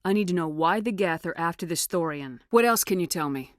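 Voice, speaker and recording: Low-voiced, commanding female military officer played by an actress. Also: lively intonation, fairly quick, no room sound, studio quality, natural voice. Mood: probing, firm. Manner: firm, authoritative, clipped military delivery. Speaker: video game protagonist. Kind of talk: scripted video game dialogue acting.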